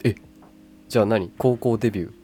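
A man's voice: lowish voice, a little fast, dark tone, husky, faint music behind. A man co-hosting a casual podcast, chatting in a laid-back, lightly teasing manner. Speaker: podcast co-host. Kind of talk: casual chat.

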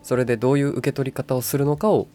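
A young adult woman chatting casually as a radio co-host in a calm, boyish, wry manner. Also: a bass voice, fast, soft background music. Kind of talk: casual chat.

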